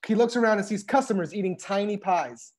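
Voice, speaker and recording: A woman's voice: mid-range voice, fairly quick, a little mellow. A woman reading scripted stage directions as narration for a table read. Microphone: video call.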